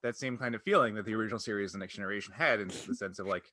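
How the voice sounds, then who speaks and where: A man co-hosting a casual panel chat as a game master, talking in an animated way and often laughing as he speaks. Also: fairly low pitch, rapid-fire, dry recording, studio quality. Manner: animated, laughs as they talk. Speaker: podcast co-host and tabletop game master.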